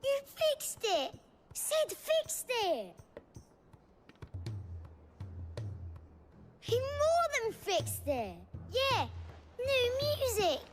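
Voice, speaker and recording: An actor playing an innocent, excitable young child with a small voice in a fantasy video game. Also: very high-pitched, very slow, a little hiss or hum, full character voice. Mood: delighted. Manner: innocent, defensive, simple ungrammatical speech. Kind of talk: cinematic video game acting.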